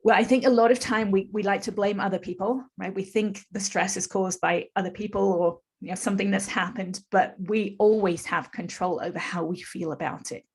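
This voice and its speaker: A woman in her fifties with a slightly husky, breathy voice speaks as a podcast guest. She tells her story in long, earnest, flowing runs and explains things patiently, at a middle pitch. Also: fairly even intonation, brisk, hardly any pauses. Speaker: podcast guest, spiritual teacher. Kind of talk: conversational storytelling interview.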